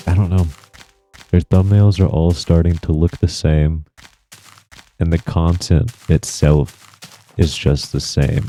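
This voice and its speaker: Channel host, male, very deep dark voice, laid-back reflective rambling over gameplay. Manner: rambling, soft-spoken, reflective. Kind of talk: relaxed gameplay commentary.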